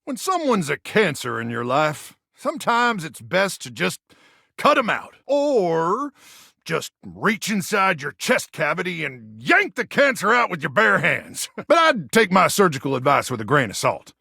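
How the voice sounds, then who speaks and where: An American man voicing a feral, preachy wasteland soldier in a video game, with a gravelly voice and a folksy, theatrical drawl. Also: sits high, wildly swooping pitch, measured pace, bright, forceful tone, dry recording, studio quality, exaggerated voice. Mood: blasé, preachy. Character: feral, zealous, bragging wasteland soldier of a household appliance. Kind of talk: video game character voice acting.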